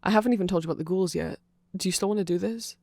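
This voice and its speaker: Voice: mid-range voice, racing, creaky, darkish tone, no room sound, natural voice. Someone playing a nerdy, rambling vampire, close and dry. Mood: apologetic. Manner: rambling, digressive, self-conscious, apologetic. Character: nerdy rambling vampire band fan, eager and apologetic. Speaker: ASMR performer. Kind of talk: ASMR roleplay, unscripted rambling explanation.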